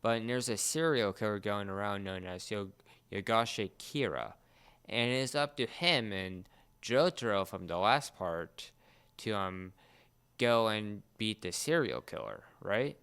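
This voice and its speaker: Clear-voiced man chatting and explaining, halting delivery. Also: on the low side, expressive, fairly bright tone. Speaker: podcast co-host explaining a series. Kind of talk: casual chat, explainer.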